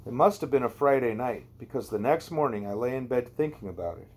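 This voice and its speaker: A man's voice: medium pitch, animated, fast talker, a little mellow, a little hiss or hum. A man narrating a first-person novel as an amateur audiobook reader, in a conversational, wry storytelling way.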